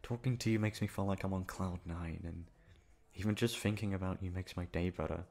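Man acting a low, creaky, bashful young playboy. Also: darkish tone. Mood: tender, earnest. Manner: halting, stammering, bashful. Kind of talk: romantic ASMR roleplay acting.

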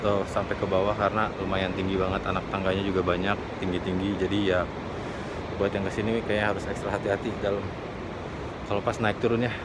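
A young man vlogging casually outdoors, chatty and excited, filmed on a handheld camera. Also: low voice, fast talker, very noisy. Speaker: travel vlogger. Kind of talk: casual travel vlog. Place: outdoors on a cliffside trail and beach. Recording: loud music under the voice.

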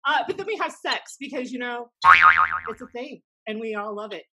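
A woman's voice: fairly high pitch, lively intonation, normal speed, fairly bright tone, slightly rough. Snarky American woman with music behind. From United States. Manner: snarky, wry. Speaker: podcast co-host, former wrestling announcer. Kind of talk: casual podcast banter. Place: small room indoors. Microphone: desk microphone.

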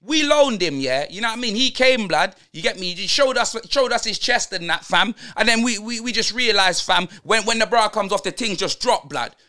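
A man's voice: sits high, animated, normal speed, few pauses, pushed and bright. A man doing a ranting London roadman fan. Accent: London roadman slang. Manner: ranting, slang-heavy, tags every line with blood and fam. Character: brash young male London roadman football fan. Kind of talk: comedic football rant.

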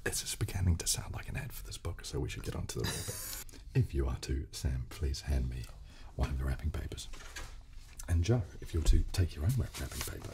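A man's voice: very deep voice, expressive, brisk, darkish tone. A man in his thirties hosting a whispered ASMR chat in a polite, self-deprecating way, close to a studio microphone. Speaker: host. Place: small dark indoor studio. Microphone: close studio microphone at a table.

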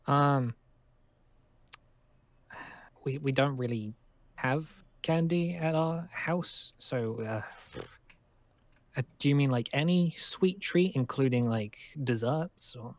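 A man's voice: medium pitch, slow. A man improvising game roleplay in a hesitant, stammering way.

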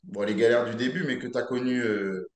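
A man's voice: medium pitch, brisk, a little mellow. A man in his thirties hosting an interview over a video call, asking probing, conversational questions. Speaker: interview host. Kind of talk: casual interview. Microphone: video call webcam. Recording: roomy webcam audio.

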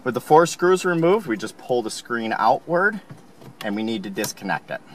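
A man calmly explaining, step by step, how to install a car part, recorded inside a car. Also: medium pitch, moderate pace, slight background noise. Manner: calm, plain instructional. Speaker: installation instructor.

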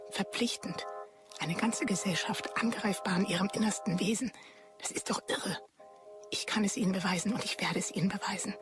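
Casting: actress, mid-pitched, intense, secretive schemer, hushed delivery, music underneath. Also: female voice, close to an everyday voice. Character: determined, secretive, controlling woman activist. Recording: music behind the voice.

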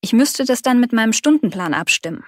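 A woman's voice: a little high, expressive, brisk, no echo, studio quality, close to an everyday voice. A woman reading the part of a friendly young job applicant who explains herself readily, in a scripted dialogue for learners. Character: young female applicant, a freelance writer.

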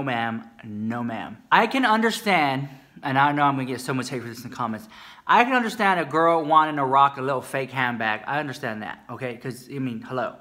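Sassy bright-voiced man. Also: medium pitch. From Texas. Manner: sassy, dramatic, repeats "no, ma'am". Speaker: comedy YouTube host. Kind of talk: sassy commentary vlog. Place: small indoor room with shelves.

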